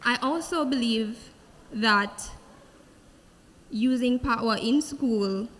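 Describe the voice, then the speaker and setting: A young Jamaican woman, a pageant contestant, giving a slow, earnest answer on stage. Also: on the high side. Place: large event hall. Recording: long hall reverb from a stage mic.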